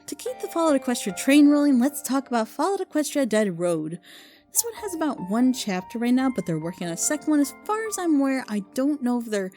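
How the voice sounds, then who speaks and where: A woman's voice: high voice, big pitch swings, a little fast, dark tone, faint music behind. A woman giving casual, unscripted recommendations in an informal, gushing, rambling way, as a reviewer of audio dramas.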